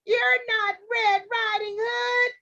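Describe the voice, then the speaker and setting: A woman's voice: very high voice, flat delivery, takes it slowly, sharp tone, distant mic, muffled recording, pitched above the performer's own voice, exaggerated voice. A woman voicing an elderly, kindly storybook grandmother in a fluttery, exclaiming manner. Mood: startled. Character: elderly grandmother, delighted then startled, kindly.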